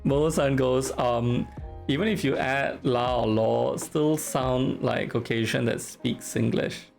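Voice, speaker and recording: A man's voice: mid-range voice, steady tone, measured pace, vocal fry, a little mellow, soft background music. A man from Singapore with a Singaporean English accent, chatting casually on a live stream, teasing, reading chat aloud and laughing often.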